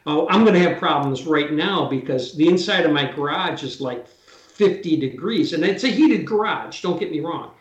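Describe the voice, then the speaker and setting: A man's voice: a little high, expressive, moderate pace, few pauses, roomy sound, dull audio. A man in his sixties, a drywall taper, chatting casually as an interview guest and explaining his work in hands-on detail. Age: 60s.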